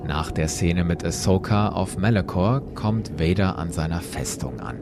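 A man's voice: low-pitched, normal speed. A man hosting a podcast who retells a story with vivid, dramatic narration. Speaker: podcast host. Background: music.

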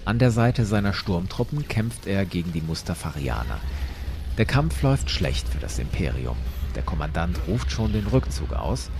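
A man's voice: low voice, creaky. A man retelling a comic as an audio story, mixing vivid narration with wry, casual asides. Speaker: podcast host and storyteller.

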